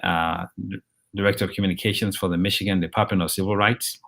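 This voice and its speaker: Deep-voiced man from Nigeria, measured. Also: steady tone, gravelly, a bit bright. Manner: measured, with frequent ums and restarts. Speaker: interview guest, journalist and professor. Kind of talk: conversational interview.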